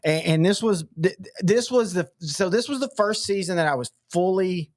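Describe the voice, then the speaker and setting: A man's voice: sits high, animated, moderate pace, no echo, clean studio sound. A man from East Texas, hosting a casual sports podcast, rambling with stammered restarts. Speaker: podcast host.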